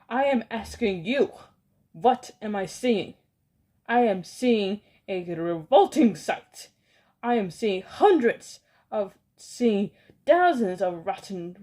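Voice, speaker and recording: A woman's voice: on the low side, darkish tone, close to an everyday voice. A woman reading a storybook aloud and voicing a furious, domineering female witch leader who shrieks orders in stilted, oddly worded speech.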